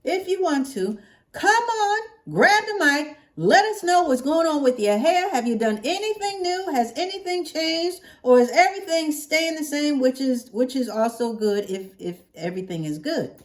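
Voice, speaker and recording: Woman, higher-pitched voice, casual vlog host, chatty, playful emphasis, drawn-out catchphrases. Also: animated. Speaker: hair vlogger hosting her own channel.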